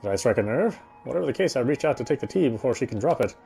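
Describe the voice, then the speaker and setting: A man reading visual novel narration aloud in a dramatic, reflective way with deliberate phrasing. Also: mid-range voice, lively intonation, vocal fry, dark tone, soft background music. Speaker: game streamer reading aloud.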